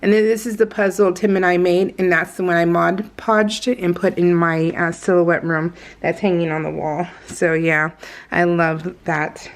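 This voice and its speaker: A woman's voice: on the low side, little pitch movement, moderate pace. Chatty woman rambling casually. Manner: chatty, giggly, meandering. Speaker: craft vlogger. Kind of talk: casual rambling chat over scrapbook pages.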